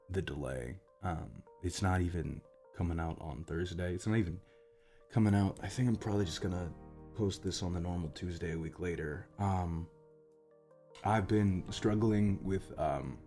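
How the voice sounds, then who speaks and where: American man, deep voice, casual outro chat, rambling, hesitant, self-deprecating, sweary. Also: warm tone. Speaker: voice actor, channel creator.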